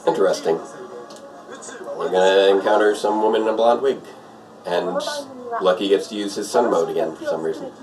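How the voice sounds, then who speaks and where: A man's voice: low voice, fairly quick, pauses often, crowd noise. A man giving casual, off-the-cuff live commentary on a show, hesitantly musing aloud as he goes. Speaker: host of a live reaction show. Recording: distant mic, TV show music behind. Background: television show playing with music.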